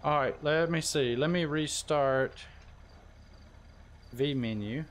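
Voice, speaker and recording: Man, slightly high voice, casual game streamer, faint night ambience behind. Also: slow, a little hiss or hum. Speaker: gaming live streamer and server admin. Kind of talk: casual gaming chat with friends.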